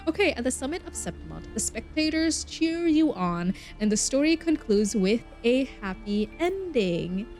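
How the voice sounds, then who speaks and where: Expressive woman game streamer with music behind. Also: on the high side, normal speed, few pauses. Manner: expressive, dramatic reading mixed with chatty asides. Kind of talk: live game stream, reading story text aloud with casual comments.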